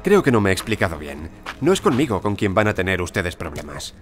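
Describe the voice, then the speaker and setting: A male actor playing a self-assured, courteous gentleman archaeologist in an audio drama, formal and precise. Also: low voice, very animated, fairly quick. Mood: coolly commanding. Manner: formal, polite, unruffled, precise. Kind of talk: audio drama acting and first-person narration. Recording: music behind the voice.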